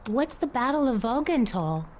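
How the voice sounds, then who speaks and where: A woman's voice: on the high side, big pitch swings, normal speed, darkish tone, a little hiss or hum. A young woman playing a plucky teenage village girl in a video game, casual and inquisitive. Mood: curious.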